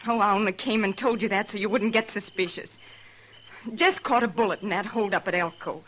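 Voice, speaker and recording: A defiant woman of the Old West, played by an actress in a vintage radio drama. Her American voice is mid-pitched and sharp, hard-bitten and insistent. Faint noise of an old recording sits underneath. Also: brisk, lightly acted. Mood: defensive.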